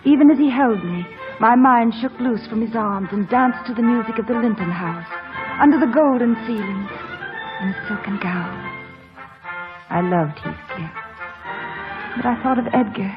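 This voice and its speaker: An actress playing a proud, willful, passionate young gentlewoman in mid-century radio drama, with intense, theatrical, haughty delivery. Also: medium pitch, close to an everyday voice. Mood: wistful. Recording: dull old radio recording with music.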